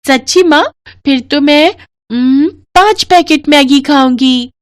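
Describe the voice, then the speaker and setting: A woman voicing an eager, greedy little girl in a children's story, pleading and excitable. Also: high-pitched, measured pace, no room sound, clean studio sound, pitched above the performer's own voice. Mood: excited. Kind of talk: Hindi children's story voice acting.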